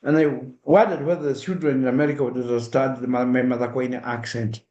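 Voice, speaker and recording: A man's voice: mid-range voice, fairly even intonation, quick pace, darkish tone, lo-fi sound. A middle-aged man with a Kenyan accent giving an earnest, direct public talk and acting out examples in the first person. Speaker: speaker at a diaspora awareness event. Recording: echoey room.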